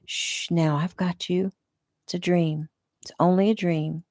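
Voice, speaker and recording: A woman reading the part of a protective boyfriend who speaks gently and soothingly. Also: on the low side, steady tone, moderate pace, no echo, clean studio sound, lightly acted. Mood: tender.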